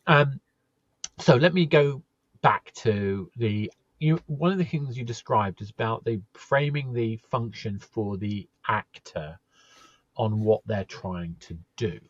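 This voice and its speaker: A man hosting a podcast interview, talking in a thoughtful, halting way and often repeating words as he starts a sentence. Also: mid-range voice, no echo, dull audio. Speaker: podcast host. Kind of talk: casual conversational interview.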